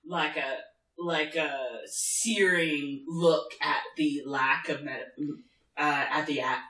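Bright-voiced, high-pitched man, echoey room. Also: fairly even intonation, slow. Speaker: podcast co-host. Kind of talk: casual TV discussion chat. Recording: roomy, echoey room sound.

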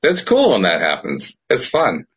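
A man's voice: fairly low pitch, huge pitch swings, measured pace, a bit bright, breathy edge, muffled recording. A man hosting a podcast in casual chat, chatty and laughing often. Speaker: podcast host.

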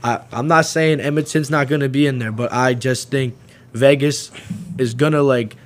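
A man's voice: medium pitch, steady tone, normal speed. A man co-hosting a sports talk radio show, rambling and hedging through his hockey predictions. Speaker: co-host.

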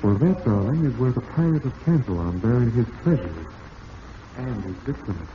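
An actor playing a smooth-talking, falsely cheerful husband with murder in mind, in old-time radio drama style. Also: male voice, medium pitch, lively intonation, moderate pace, lightly acted. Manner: suave, falsely cheerful, darkly ironic. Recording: dull, noisy vintage radio recording.

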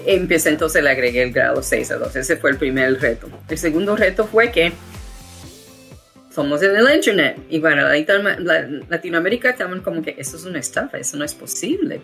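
A woman's voice: deep voice, a bit bright. A woman from the United States with an American accent, a guest in an interview who talks with many fillers and restarts. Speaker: guest, education founder. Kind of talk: interview conversation.

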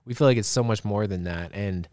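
A man in his twenties co-hosting a podcast, talking in a relaxed, rambling way with lots of fillers. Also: lowish voice, fairly quick, no room sound, studio quality. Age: 20s. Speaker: podcast co-host. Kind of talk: casual chat.

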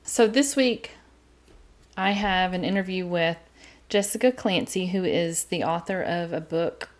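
East Texas woman, podcast host, earnest and chatty, fairly low voice, quiet home. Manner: chatty, earnest, talks faster as she warms up. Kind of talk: casual solo podcast talk.

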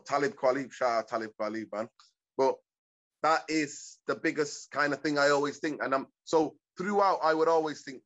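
A man's voice: medium pitch, fairly bright tone. A man from East Africa talking reflectively in a casual conversation, rambling and often checking in with "you know what I mean". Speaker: guest.

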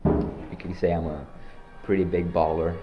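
A teenage boy from Norway hosting a joking school podcast, talking in deadpan, slangy banter. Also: sits low, moderate pace, reverberant, muffled recording, over loud music. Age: teens. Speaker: podcast host. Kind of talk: joking student podcast interview.

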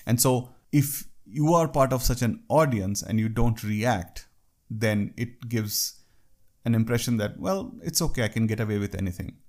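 A man's voice: medium pitch, animated, moderate pace, a little mellow. A man from India with an Indian English accent, talking through workplace etiquette in a conversational way with wry asides. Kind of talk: talk on workplace culture.

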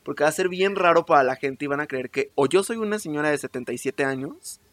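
A woman's voice: deep voice, fast talker. A young woman with a Mexican accent, chatting casually as a podcast co-host, teasing and wandering off topic in slangy speech. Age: young adult. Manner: animated, teasing, digressive, slangy. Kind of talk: casual bantering chat with a friend.

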